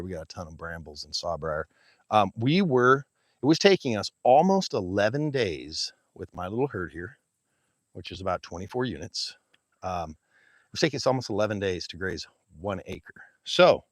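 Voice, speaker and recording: A man with a booming voice, a rancher, casually explaining grazing math on a livestream from his pasture. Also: fairly bright tone, dry recording. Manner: casual, folksy, explains step by step. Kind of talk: livestream teaching talk, chatting with viewers. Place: outdoors in a pasture among cattle. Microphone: small mic clipped to his hat.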